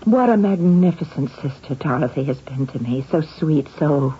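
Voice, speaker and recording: An actress playing an effusive, touchy, anxious wife in an old-time radio drama, earnest and theatrical. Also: female voice, sits low, expressive, moderate pace. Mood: gushing, grateful. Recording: muffled, noisy old radio recording.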